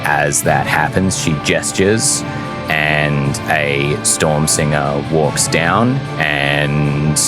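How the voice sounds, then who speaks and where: Very deep, slow-speaking male game master. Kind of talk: descriptive tabletop game narration.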